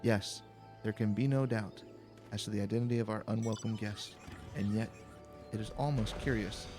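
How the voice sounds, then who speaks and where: A man reading video game dialogue aloud in a calm, slow, deliberate way for a let's play. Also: on the low side. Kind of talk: calm, slow-paced read-aloud narration. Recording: faint background music. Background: faint game music.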